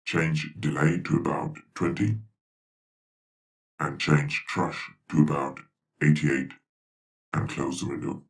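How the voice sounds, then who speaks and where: A man voicing an instructive robot through a pitch-lowered robotic effect, giving deliberate step-by-step tutorial commands. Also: fairly even intonation, gravelly, distant mic, exaggerated voice. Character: instructive robot speaking for a video channel. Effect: robotic pitch-lowered voice with flanger and delay.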